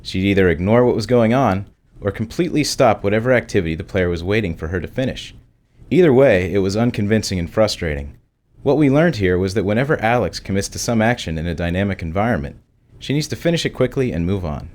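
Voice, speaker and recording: A man's voice: fairly low pitch, animated, fast, darkish tone. A man working as a game developer, reading a prepared commentary.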